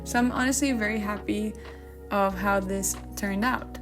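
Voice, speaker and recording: A woman's voice: mid-range voice, fairly even intonation, normal speed. A woman whose first language is Spanish, giving casual, chatty gaming commentary in an informal way, sometimes searching for her English words. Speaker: gaming video creator. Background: background music.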